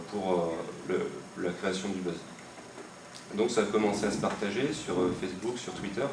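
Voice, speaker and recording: A young man from western France explaining, hesitantly and with many fillers, how he built a website. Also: low voice. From Maine-et-Loire, France. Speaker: website creator interviewed. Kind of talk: casual spoken explanation. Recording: echoey room, mic far off, noisy.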